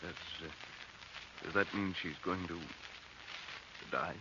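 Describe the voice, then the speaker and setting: An actor playing an anxious, devoted husband in a 1940s radio drama, nervous and hesitant, on a dull, noisy recording. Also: male voice, low-pitched, slow. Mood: distressed. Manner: nervous, hesitant, plain-spoken.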